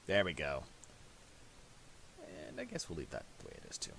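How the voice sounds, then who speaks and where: A man giving a casual, unscripted software tutorial, thinking aloud hesitantly and a little self-deprecatingly. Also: a bass voice, wildly swooping pitch, moderate pace, creaky. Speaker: tutorial presenter. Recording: hissy home recording with mouse clicks.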